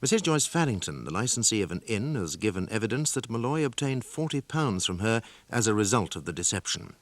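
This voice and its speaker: A sober male narrator for a courtroom drama. The voice is fairly low and recorded very dry, with expressive rises and falls. The reading is formal, measured and factual. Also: no room sound.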